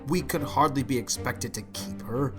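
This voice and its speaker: A man playing a shy, gentle but stubborn older bachelor farmer in an audiobook, speaking in a hesitant, halting, mild way. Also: mid-range voice, music in the background, natural voice. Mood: reluctant, uncomfortable. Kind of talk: audiobook character acting.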